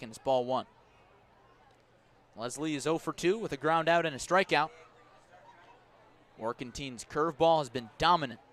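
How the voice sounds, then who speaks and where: A man doing live baseball play-by-play on the radio at an outdoor ballpark, measured between plays and rising with the action. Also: a little high, a bit bright. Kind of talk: live sports commentary.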